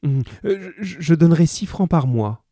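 A man's voice: a little high, animated, moderate pace, mellow, no echo, clean studio sound, voice pushed up. A man voicing an anxious, pleading poor young mother, hesitant and earnest, in an audiobook. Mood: anxious, eager.

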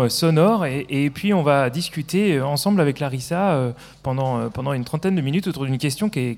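A man's voice: fairly high pitch, creaky, a little mellow, big-room sound. A man hosting a live radio interview, talking hesitantly with many filler sounds. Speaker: radio host.

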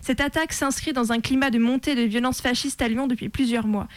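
A woman's voice: on the high side, fairly even intonation, a little fast, no room sound. A woman reading a scripted activist statement as a radio presenter.

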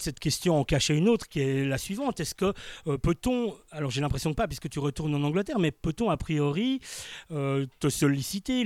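A man's voice: on the high side, a little mellow, no echo. A man hosting a live radio interview from a studio, often rephrasing his questions as he goes. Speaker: radio host.

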